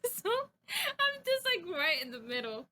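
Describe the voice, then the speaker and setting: A woman's voice: very high voice, sing-song, slow pace, crowd noise. A young woman co-hosting a podcast in casual chat, joking, swearing and playing mock-indignant. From Dominican heritage, United States. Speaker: podcast co-host.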